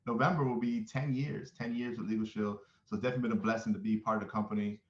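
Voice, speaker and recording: A man's voice: lowish voice, steady tone, very fast, reverberant, muffled recording. A man from South Florida joining a panel remotely, easygoing and laughing.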